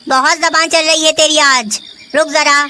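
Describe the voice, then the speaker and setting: A bullying, drunken husband sparrow from a children's cartoon, played by a voice actor. He is rude and domineering, with a bright, forceful edge, over noisy birdsong. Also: high voice, steady tone, unhurried, heavily acted. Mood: angry, defiant. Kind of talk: Hindi children's cartoon dialogue.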